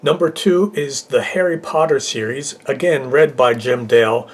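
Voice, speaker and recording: Male vlog host, middle-aged, average pitch, casual review delivery. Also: normal speed, faint music behind. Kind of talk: casual top-ten countdown review.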